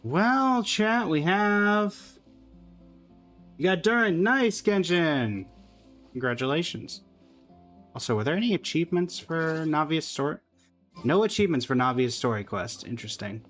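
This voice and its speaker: A man's voice: a little high, lively intonation, measured pace, a bit bright, soft background music. A man chatting casually to viewers as he hosts a live gaming stream. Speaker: livestreamer.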